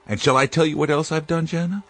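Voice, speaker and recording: An actor playing a proud, domineering inventor and father who speaks in a smooth, patronizing way in a radio drama. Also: fairly high pitch, steady tone, quick pace, fairly bright tone, faint music behind.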